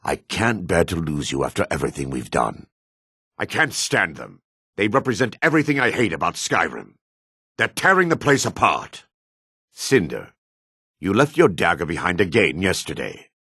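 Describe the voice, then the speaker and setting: Gruff dark elf from a fantasy game, really gravelly voice w a sharp bright edge, wary and bitter. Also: male voice, sits low, big pitch swings, moderate pace, no echo, studio quality, exaggerated voice. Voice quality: raspy, gravelly. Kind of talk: fantasy video game voice acting.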